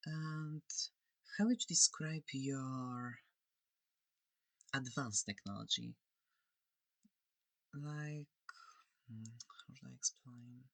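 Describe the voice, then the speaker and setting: A friendly alien examiner played by a performer in an ASMR roleplay, speaking slowly and softly in a close, dry sound. The voice is breathy with some gravelly creak, and the manner is curious, polite and gently reassuring. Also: mid-range voice, dry recording. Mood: curious, thoughtful. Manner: soft-spoken, hesitant, gently inquisitive.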